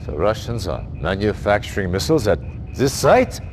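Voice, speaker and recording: An actor playing a grave, decisive middle-aged army general in a video game briefing, measured and authoritative. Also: male voice, lowish voice, big pitch swings, a little mellow. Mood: probing. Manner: measured, authoritative, deliberate phrasing. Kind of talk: video game cutscene acting, military briefing.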